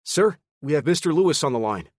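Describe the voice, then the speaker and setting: A man's voice: a little high, expressive, brisk, dry recording, clean studio sound, pitched above the performer's own voice. A man voicing an earnest, eager male analyst who misses the tension around him.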